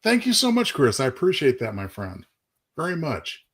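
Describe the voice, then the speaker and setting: Male co-host, slightly high voice, polite and a touch formal in an easy live chat. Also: huge pitch swings, moderate pace, a bit bright, breathy edge. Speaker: co-host and short story author.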